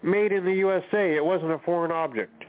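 A man's voice: high voice, a little fast. A man hosting a casual talk show, steadily leading the conversation and questioning a guest. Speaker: podcast host. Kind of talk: casual chat, interviewing. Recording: tinny phone-like line.

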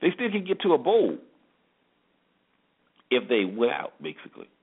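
A man's voice: medium pitch, huge pitch swings, normal speed, husky. An American man hosting a sports show, giving emphatic commentary and repeating phrases for effect, on a dull recording. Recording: dull, lo-fi band-limited sound.

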